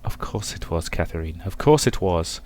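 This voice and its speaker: A man voicing a gentle, protective man in a visual novel, speaking softly and coaxingly to console someone. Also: deep voice, lively intonation, whispered, pitched below the performer's own voice. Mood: tender, reassuring. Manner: soft, slow, coaxing. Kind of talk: dramatic reading of visual novel dialogue.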